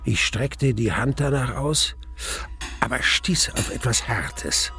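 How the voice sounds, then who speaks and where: Casting: grave adventurer narrating a radio play; gravelly, measured, suspenseful, music underneath. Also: male voice, medium pitch, expressive, sharp tone, exaggerated voice. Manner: measured, suspenseful, pausing between phrases. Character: grave male narrator recalling an eerie adventure. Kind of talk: dramatic first-person narration in a radio play.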